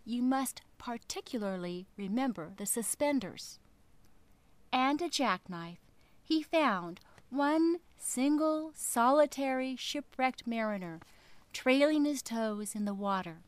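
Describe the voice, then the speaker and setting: A woman narrating a children's story expressively, with a deliberate, rhythmic, dramatic delivery. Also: fairly high pitch, animated, no echo.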